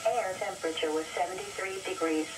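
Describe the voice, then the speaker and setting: A woman's voice: lowish voice, steady tone, brisk. Synthetic woman reading weather over noisy radio. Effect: synthesized text-to-speech voice. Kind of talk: automated scripted weather bulletin readout. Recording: noisy weather radio broadcast, distant sound.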